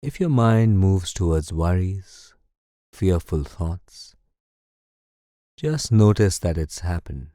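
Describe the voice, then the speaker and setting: A man leading a guided meditation in a calm, soothing, measured way. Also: deep voice, no echo, clean studio sound. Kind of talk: slow guided meditation narration.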